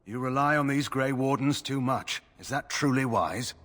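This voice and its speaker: An actor playing a grim, distrustful older general in a video game, speaking in a stern, scornful manner. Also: male voice, mid-range voice, normal speed, fairly bright tone, lightly acted.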